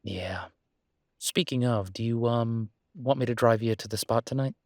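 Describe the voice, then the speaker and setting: A man's voice: mid-range voice, moderate pace, no room sound, studio quality, lightly acted. A man playing a caring, easygoing boyfriend in a roleplay audio drama, speaking casually and reassuringly, with small hesitations. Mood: caring, relaxed. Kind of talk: roleplay audio drama, one-sided intimate conversation.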